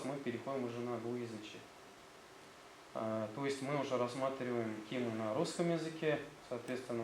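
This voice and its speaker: A man with a Tatar accent, a school director presenting his gymnasium to visiting officials, hesitant and full of fillers. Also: mid-range voice. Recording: roomy sound, faint background noise.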